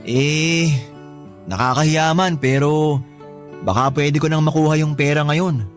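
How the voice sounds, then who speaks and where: A young man voicing a smooth-talking, manipulative suitor in a radio love story. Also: a little high, steady tone, measured pace, soft background music, close to an everyday voice. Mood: charming. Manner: charming at first, then demanding. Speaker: suitor Dennis. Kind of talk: radio drama acting.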